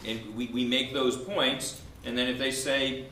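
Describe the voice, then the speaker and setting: Bright-voiced man arguing in an echoey room. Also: mid-range voice, fairly even intonation, a little slow. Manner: argumentative, explanatory, poses and answers own questions. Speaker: law professor debating. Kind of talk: spoken legal debate. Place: indoor room at a law school event. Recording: roomy echo with faint background noise.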